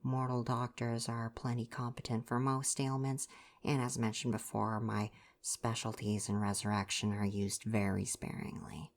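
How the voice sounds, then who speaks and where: A woman's voice: extremely low pitch, level tone, moderate pace. A woman playing an eccentric, chatty ancient Greek healer god in an ASMR roleplay, rambling and musing in politely formal speech with casual asides. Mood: brisk, reassuring. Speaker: doctor.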